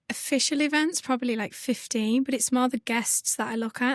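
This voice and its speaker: Husky Yorkshire woman, higher voice. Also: dry recording, clean studio sound. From Northern England. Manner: laughs often, self-deprecating. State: husky from overuse. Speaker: guest, events business founder. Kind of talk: casual podcast conversation.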